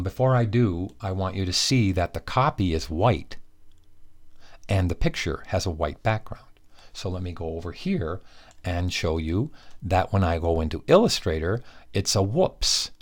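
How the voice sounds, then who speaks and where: A man giving a relaxed screen-recorded software tutorial, chatty and correcting his own slips as he goes. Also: on the low side. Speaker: software instructor.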